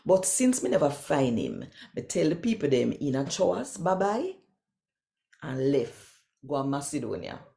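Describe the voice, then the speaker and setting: A woman with a Jamaican accent, reading scripture and addressing viewers in an expressive, conversational and emphatic way. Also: deep voice, unhurried, creaky.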